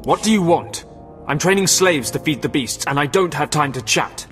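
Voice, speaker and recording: Brusque Sith beast trainer over music. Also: male voice, fairly high pitch, animated, normal speed, fairly bright tone. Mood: irritated. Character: curt, sinister male Sith beast trainer. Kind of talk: video game dialogue acting.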